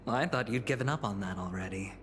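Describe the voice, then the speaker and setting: A man's voice: fairly low pitch, fast, natural voice. An actor playing a playful, sly man in a video game, needling his companion with nonchalant, teasing irony. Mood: sly, amused. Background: music.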